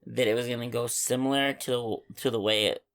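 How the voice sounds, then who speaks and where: Male co-host, medium pitch, offhand and halting, everyday conversational delivery. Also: fairly even intonation, fairly bright tone. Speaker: podcast co-host. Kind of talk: casual chat.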